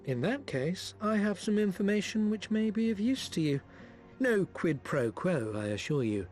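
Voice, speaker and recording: A courteous, guarded informant from a video game, in a slightly high male voice. The delivery is formal and measured, with faint music underneath. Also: big pitch swings, a little mellow. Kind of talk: video game character acting.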